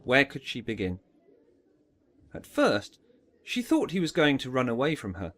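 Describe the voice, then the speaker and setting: Animated male audiobook narrator. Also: mid-range voice, a little slow. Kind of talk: dramatised storybook narration.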